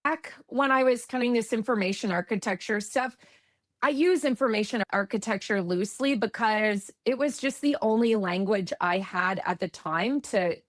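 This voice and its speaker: A woman's voice: mid-range voice, no room sound. A woman from eastern Canada with a Canadian accent, a guest talking in a chatty, reflective way and correcting herself as she goes. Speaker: guest, UX expert. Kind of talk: conversational interview.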